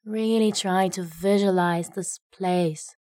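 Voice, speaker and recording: A woman narrating a guided meditation in a gentle, unhurried way, leaving long pauses between phrases. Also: medium pitch, steady tone, no echo, clean studio sound.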